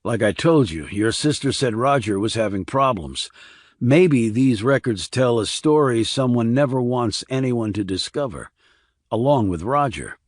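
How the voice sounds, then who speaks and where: A man voicing a terse, authoritative, steady former army investigator in audiobook dialogue. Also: medium pitch, unhurried, no room sound, studio quality. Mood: measured.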